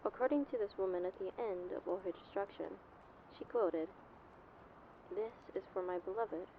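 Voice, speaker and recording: A woman's voice: mid-range voice, normal speed. Woman playing a female news anchor, formal announcing, tinny broadcast filter, faint hiss. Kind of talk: news bulletin.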